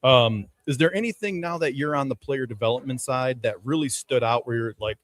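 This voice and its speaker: Medium-pitched man asking interview questions. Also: fairly quick, dry recording. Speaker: interviewer on a sports podcast. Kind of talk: casual live interview question. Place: live at a baseball fan convention.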